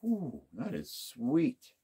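A man's voice: medium pitch, wildly swooping pitch, drawn-out words, mellow, husky. A man casually talking through an unboxing of used golf clubs, musing aloud to himself as he inspects each one. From United States. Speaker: golf club unboxing host.

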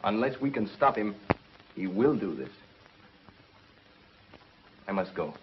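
An actor playing a grave, urgent scientist hero in a 1950s TV sci-fi serial, with a solemn, declamatory delivery. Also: male voice, on the low side, animated, slow pace, noisy background. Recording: muffled 1950s TV soundtrack with music.

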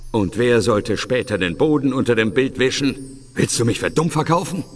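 A man's voice: mid-range voice, lively intonation, normal speed, long reverb tail, faint music behind, lightly acted. A man voicing a grumpy, rude Scottish man living in a talking portrait in a game, with a Scottish accent. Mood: gruff. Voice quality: gruff, rude, dismissive. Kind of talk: game dialogue.

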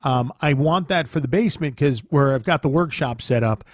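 A man's voice: medium pitch, a little fast, no echo, studio quality. A man co-hosting a game show podcast, opening with upbeat energy and chatting casually. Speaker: podcast co-host. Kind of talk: casual chat.

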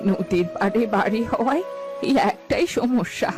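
A woman's voice: a little high, animated, moderate pace, music in the background, close to an everyday voice. A woman playing a poor, worried old village mother in a cartoon, pleading and lamenting. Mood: anxious complaining. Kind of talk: Bengali fairy-tale cartoon dialogue.

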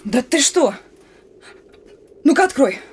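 A woman playing a young female partisan sentry in a radio drama, blunt and colloquial, gruff yet dreamy. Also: high voice, big pitch swings, slow pace, full character voice. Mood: gruff, bossy. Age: young adult. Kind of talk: Russian radio drama acting.

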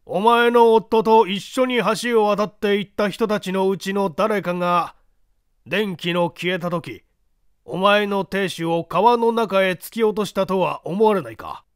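A man's voice: sits high, measured pace, clean tone, no echo, clean studio sound. A man voicing a stern examining judge, blunt and authoritative as he interrogates in a mystery story reading. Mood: stern, probing.

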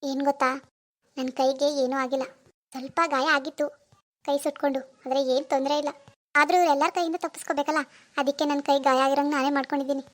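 High-voiced, scheming young wife played by a woman. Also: flat delivery, fast, natural voice. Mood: smug. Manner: sly muttering alone, whiny playacting to others. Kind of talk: amateur domestic drama acting.

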